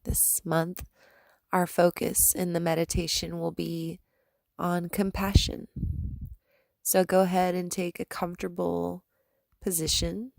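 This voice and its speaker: Slow, creaky-voiced woman guiding meditation. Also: on the low side, no room sound. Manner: calm, soothing, gentle instructions with long pauses. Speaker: yoga and meditation teacher. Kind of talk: guided meditation.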